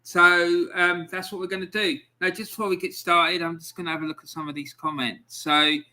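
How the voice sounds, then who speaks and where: A man with a high, very bright voice hosts a relaxed podcast chat. He talks loosely and casually, stopping and restarting now and then as he sets things up. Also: brisk. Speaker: podcast host.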